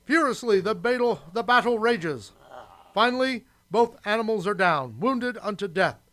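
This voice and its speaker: A man's voice: sits high, lively intonation, normal speed, a bit bright. A man reading scripted narration as the narrator of a radio play.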